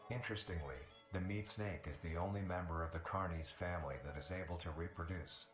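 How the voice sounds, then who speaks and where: A man reading scripted documentary narration in a measured, formal, detached manner. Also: low-pitched. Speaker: narrator of a mock nature documentary video. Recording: roomy echo with music. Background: music.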